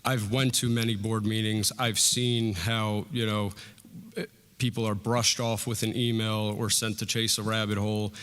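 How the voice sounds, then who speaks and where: A man's voice: on the low side, little pitch movement, normal speed, a bit bright, clear, resonant tone. A young man running for school board, giving plainspoken, unpolished debate answers with many fillers. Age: young adult. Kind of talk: town hall debate statement. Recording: reverberant hall.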